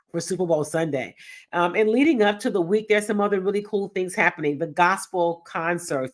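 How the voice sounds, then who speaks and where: A middle-aged woman from Texas hosting a live sports talk show, her voice nasal from a cold, upbeat and chatting directly with viewers. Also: lowish voice. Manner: upbeat, addresses viewers directly, reads chat aloud. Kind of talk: live-streamed casual show chat.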